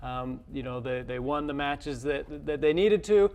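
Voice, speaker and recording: A man, a college coach, answering interview questions in a television studio in a halting, modest way that often repeats words. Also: fairly high pitch, darkish tone. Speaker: college tennis head coach, interview guest. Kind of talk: unscripted interview talk.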